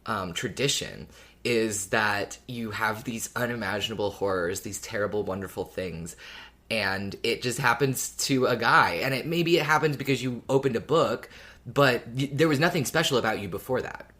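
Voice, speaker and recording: A podcast host chatting casually about a favourite story, in an expressive, emphatic way. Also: mid-range voice, moderate pace. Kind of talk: casual fan podcast chat.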